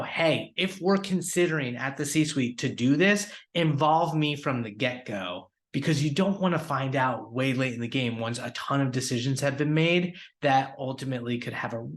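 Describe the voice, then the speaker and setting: A man hosting a weekly industry podcast, recapping news in an upbeat, conversational way with fillers and restarts. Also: mid-range voice. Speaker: podcast host.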